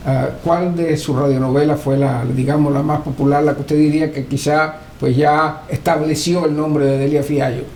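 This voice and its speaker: A man asks interview questions in halting, deliberate phrases. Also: on the high side, level tone, darkish tone. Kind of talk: oral history interview questions. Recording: roomy echo with faint background noise.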